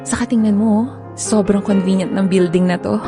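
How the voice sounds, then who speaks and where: A woman's voice: medium pitch, steady tone, normal speed, close to an everyday voice. A woman with a Filipino accent playing an affectionate, independent young girlfriend in a conversational audio drama. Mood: teasing, fond. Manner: teasing, firm but fond. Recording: background music under dialogue.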